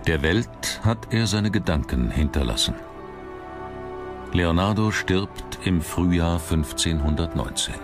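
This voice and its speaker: Very deep-voiced male documentary narrator over music. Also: lively intonation, a little slow.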